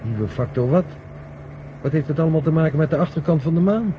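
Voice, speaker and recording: A man's voice: a little high, a little fast, natural voice. A man acting a sceptical, teasing former astronaut in a radio drama, often sounding incredulous. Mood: curious, incredulous. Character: sceptical, teasing ex-astronaut, male, old friend. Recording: muffled 1970 radio recording with hiss.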